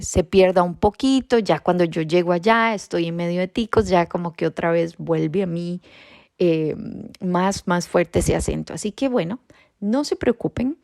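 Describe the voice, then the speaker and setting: Costa Rican woman, gravelly dry podcast voice. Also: fairly low pitch, no echo. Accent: Costa Rican Spanish. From Costa Rica. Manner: warm reassuring, often checks in with a rising "¿sí?". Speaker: podcast host and bilingualism educator. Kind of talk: informal educational podcast talk.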